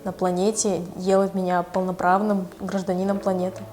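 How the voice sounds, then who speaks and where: A woman gives a reflective street-interview answer. She speaks with an even, fairly flat intonation. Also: on the low side, moderate pace. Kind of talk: reflective vox-pop answer.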